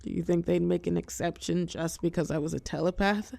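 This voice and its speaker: A woman playing a weary telepath supervillain and fallen hero, tender yet menacing, in an intimate audio roleplay with dramatic pauses. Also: on the low side, steady tone, vocal fry, dark tone, no room sound. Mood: bitter, wry. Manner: soothing pet names, wry bitterness, dramatic pauses, drops into whispers.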